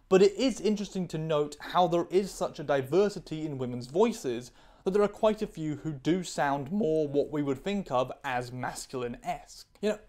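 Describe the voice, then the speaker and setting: A woman's voice: low-pitched, warm tone. A woman reading her own scripted video essay, candid, opinionated and analytical. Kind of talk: scripted commentary.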